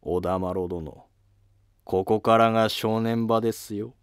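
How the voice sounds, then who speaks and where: A man's voice: lowish voice, steady tone, a little slow, clear, resonant tone, dry recording. Elderly royal court minister, played by a man: shrewd, formal, deferential, historical drama, dry recording. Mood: grave, resigned.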